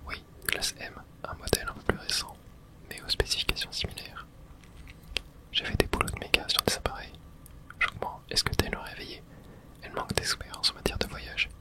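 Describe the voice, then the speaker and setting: Someone whispering in a hushed, unvoiced way through an ASMR video game playthrough, reading dialogue aloud. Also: brisk.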